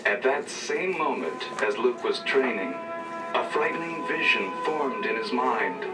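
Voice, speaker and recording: A man narrates a children's adventure record in a scripted, dramatic style. His mid-pitched voice sits a little distant under loud music. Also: lively intonation, unhurried. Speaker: storybook narrator.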